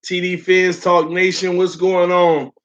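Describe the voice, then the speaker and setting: A man's voice: high voice, monotone, measured pace, a bit bright. A young man hosting a casual sports fan livestream, still getting over an illness that left his voice sore, talking loosely with lots of "um" fillers and calling his viewers "man" and "y'all.". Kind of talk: casual livestream chat, reading viewer comments. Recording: dull lo-fi stream audio.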